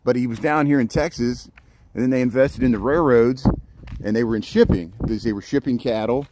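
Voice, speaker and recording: A man filming a walking tour outdoors on the grounds of a historic house, narrating loudly into a handheld phone mic and often repeating words. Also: medium pitch, fairly quick, noisy background. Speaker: vlogger touring a historic site.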